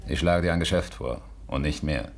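An actor playing a cocky, pushy small-time gangster in a radio play, gruff and bossy. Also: male voice, extremely low pitch, normal speed. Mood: bossy, insistent. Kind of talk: 1950s German radio play acting.

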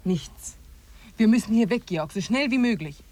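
A woman's voice: a little high, expressive, normal speed, warm tone. An actress playing a practical, resolute woman hiker in a radio drama, plain-spoken and earnest. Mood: firm, worried. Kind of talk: 1950s German radio drama acting.

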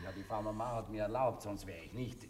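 A man's voice: on the low side, quick pace, darkish tone, a little hiss or hum, natural voice. An actor in a German radio play, a man playing a polite, flustered aristocratic count who is hesitant, courteous and gushing with admiration.

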